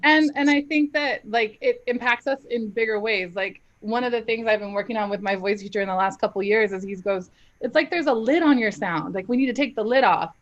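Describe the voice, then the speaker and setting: A woman's voice: a little high, fairly quick. A young adult woman, a dramatic soprano, talking candidly and emphatically in an interview, laughing often. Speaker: opera singer, dramatic soprano. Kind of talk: casual interview talk. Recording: slightly dull live stream audio.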